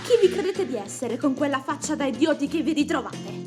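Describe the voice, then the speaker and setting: A woman's voice: sits high, animated, fairly quick, over loud music. A woman playing a hot-tempered teenage girl, scolding and defiant in an anime dub style. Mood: angry, defiant. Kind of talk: dubbed anime motion comic acting.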